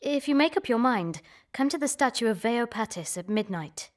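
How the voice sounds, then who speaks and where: A woman's voice: on the high side, lively intonation, moderate pace, dry recording, clean studio sound, close to an everyday voice. Woman playing a cool, proud village herbalist. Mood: mysterious, knowing. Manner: cool, assertive. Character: guarded, proud herbalist woman rumoured a witch. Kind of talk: dramatic video game acting.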